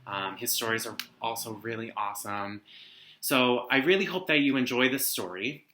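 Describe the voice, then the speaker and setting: A man's voice: mid-range voice, moderate pace, pushed and bright, slight background noise. A young man casually presenting and reading a picture book for students at home. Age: young adult. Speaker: read-aloud host, teacher. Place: living room at home. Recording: roomy indoor echo.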